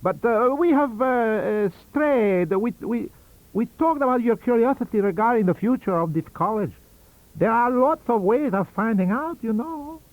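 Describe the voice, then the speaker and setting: A man voicing a cunning, wheezy old foreign scientist-magician in an audiobook, with a theatrical, halting and emphatic delivery. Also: very high voice, expressive, no echo, pitched above the performer's own voice. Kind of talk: audiobook character reading. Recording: dull lo-fi audio cassette.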